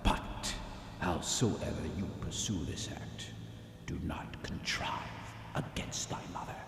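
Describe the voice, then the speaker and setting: A man's voice: very deep voice, slow pace, creaky, in a whisper, exaggerated voice. A man playing the mournful, commanding ghost of a murdered king in a theatrical Shakespeare puppet show, wailing and drawing out his words. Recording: long echoing reverb over noisy ambience.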